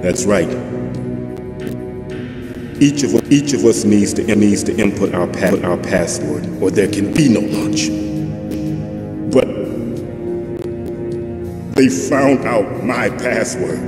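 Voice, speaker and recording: A man's voice: fairly low pitch, animated, slow pace, long pauses. A man playing a weary, grave middle-aged captive official in a video game drama, explaining things earnestly and urgently. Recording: echoey reverberant room under loud music. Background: loud game music.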